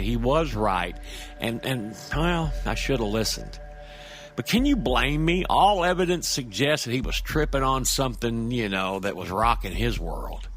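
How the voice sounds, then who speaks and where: An actor playing a nervy, rambling street-wise man who tells a horror story in a halting, stammering, conversational way, with music behind him. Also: mid-range voice, a bit bright. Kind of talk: audio drama first-person horror monologue.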